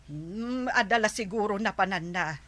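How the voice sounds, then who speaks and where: An actress with an Ilocano accent playing a practical, homely village aunt in a radio comedy drama. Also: female voice, medium pitch, normal speed, fairly bright tone, no echo, close to an everyday voice. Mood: matter-of-fact.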